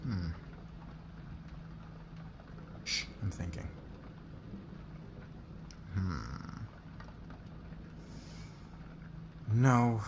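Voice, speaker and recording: A man acting a demanding, curt fashion boss who gets flustered by attraction, in an ASMR roleplay with clipped orders and hesitant pauses. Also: low-pitched, noisy background, full character voice. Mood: curt, pensive.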